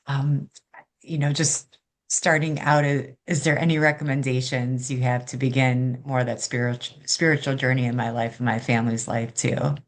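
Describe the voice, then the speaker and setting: A woman in the audience, polite and warm, asking a question on a video call. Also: a bass voice, little pitch movement, moderate pace.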